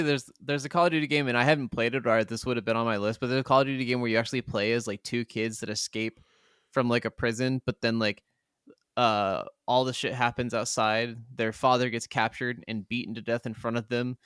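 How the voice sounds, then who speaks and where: A man's voice: mid-range voice, fairly quick, clear, resonant tone, no echo, clean studio sound. A man co-hosting a podcast, chatting casually about video games and telling stories animatedly with plenty of swearing. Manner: animated storyteller, swears freely. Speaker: podcast co-host.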